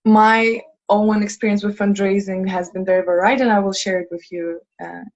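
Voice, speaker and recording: A woman's voice: medium pitch, steady tone, normal speed. A woman in her twenties from Lithuania, head of a fundraising team, talking earnestly on a video call with many fillers and restarts. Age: 20s. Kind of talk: casual video call chat. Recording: dull lo-fi sound.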